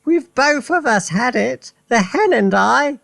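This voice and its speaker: A man voicing a gleeful, bossy old grandmother who cackles and shouts from a rooftop. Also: lively intonation, slow, gravelly, no room sound, clean studio sound, heavily acted. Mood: gleeful shouting. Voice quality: shrill cackling.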